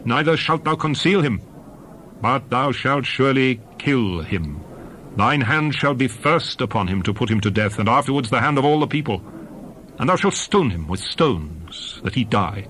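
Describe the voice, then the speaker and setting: A man narrates scripture in a dramatized, solemn style, declaiming each phrase with emphasis. His mid-pitched voice rises and falls in lively, sweeping inflection. A faint noise sits behind the reading. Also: poor audio.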